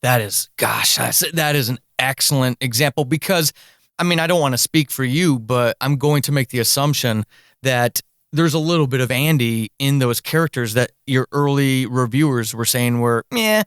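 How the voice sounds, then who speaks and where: A man's voice: medium pitch, moderate pace, a bit bright, smooth, dry recording, clean studio sound. A man hosting a podcast interview, speaking conversationally in an earnest, encouraging way and repeating words for emphasis. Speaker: podcast host.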